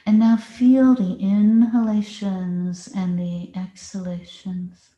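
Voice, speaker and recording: Very slow woman guiding meditation, roomy sound. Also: medium pitch, little pitch movement, warm tone. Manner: slow, deliberate instructing with long pauses. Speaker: meditation teacher. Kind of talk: guided meditation. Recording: roomy, echoing space.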